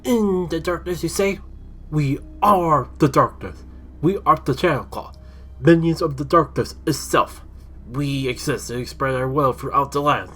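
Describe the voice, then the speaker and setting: A man's voice: fairly high pitch, measured pace, a bit bright, pitched above the performer's own voice. A man voicing a huge, bulky lion-like villain boss in a story, barking menacing orders like a drill sergeant.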